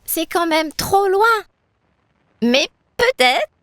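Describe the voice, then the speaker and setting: A woman voicing a brave, curious little girl in a children's cartoon, eager and expressive as she explains things. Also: extremely high pitch, very slow, no room sound, exaggerated voice.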